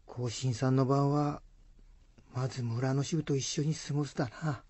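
An actor playing a humble, awkward peasant villager in a radio folktale, speaking husky, halting country speech. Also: male voice, mid-range voice, fairly even intonation, a little mellow, no room sound, close to an everyday voice. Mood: sheepish. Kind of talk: radio drama acting.

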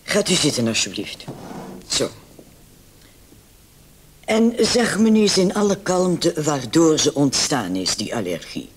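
A man playing a brisk, condescending emergency doctor on night duty in a vintage radio play. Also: fairly high pitch, very animated. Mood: brisk, questioning. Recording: old radio recording with clear hiss.

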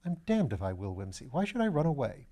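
A man's voice: medium pitch, very animated, quick pace, mellow, pitched above the performer's own voice, close to an everyday voice. Storybook part: man playing a sceptical police detective, dry, level-headed, plain-spoken. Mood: dry, doubtful.

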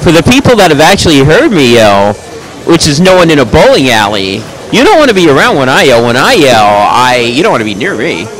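A man giving live play-by-play commentary on a bowling match in a bowling alley. He has a loud, projecting voice and makes chatty, joking asides between calls. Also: on the high side, sing-song, a little fast, low-quality recording.

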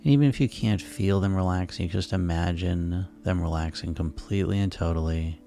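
A man speaking as a hypnotist, guiding listeners into sleep in a soothing, unhurried, repetitive way over music. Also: low voice, gravelly, mellow. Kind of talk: guided sleep hypnosis narration. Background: relaxing background music.

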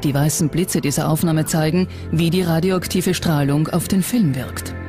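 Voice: medium pitch, fairly even intonation, normal speed. Documentary narrator over prominent music. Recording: music behind the voice. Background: background music.

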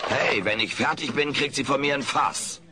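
An actor playing a wisecracking, vain, washed-up alien comedian in a comic radio play, with a sarcastic, self-important manner. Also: male voice, mid-range voice, moderate pace, background noise, natural voice.

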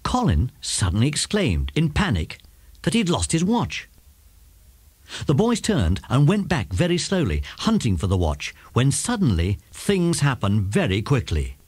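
Animated British male storyteller, dry sound. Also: medium pitch, unhurried, slightly rough, no room sound. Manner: animated, dramatic. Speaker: audiobook narrator. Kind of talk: expressive scripted storytelling for children.

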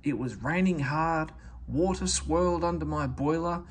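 A man's voice: fairly high pitch, a little slow, a little hiss or hum, voice pushed up, lightly acted. A man voicing a cheeky, conceited young tank engine in a children's storybook. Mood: boastful. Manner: boastful, plaintive when in trouble.

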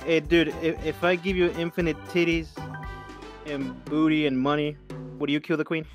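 Casual, slightly high-pitched man interviewing. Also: little pitch movement, normal speed. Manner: informal, often breaking into laughter. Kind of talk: casual comedic street-style interview in a virtual-reality game. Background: background music.

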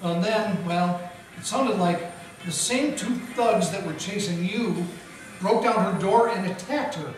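A man narrating and playing a wisecracking hardboiled detective in a live 1940s radio play, deadpan. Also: high voice, a little slow. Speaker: narrator and lead actor. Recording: echoey hall from far off with music.

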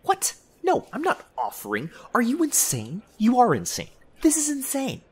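A man's voice: very high voice, huge pitch swings, moderate pace, slightly rough, full character voice. A man playing a grumpy, easily flustered vampire in a comedy audio roleplay, sarcastic and huffy in manner. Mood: indignant, flustered. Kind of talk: comedy ASMR roleplay acting.

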